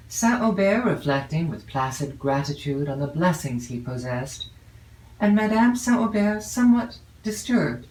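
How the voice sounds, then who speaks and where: An echoey home recording of a woman narrating an old literary novel. She reads formally and with care, setting each phrase down deliberately at a measured gait. The room is clearly audible around her voice. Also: deep voice. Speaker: audiobook narrator.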